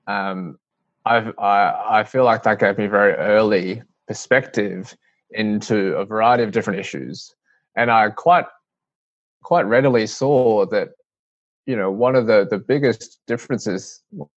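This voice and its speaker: Earnest young Australian man, low voice. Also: no room sound. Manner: earnest, measured, with frequent restarts and "um"s. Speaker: guest, CEO of a youth-led charity. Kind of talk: interview answers. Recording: dry, slightly muffled audio.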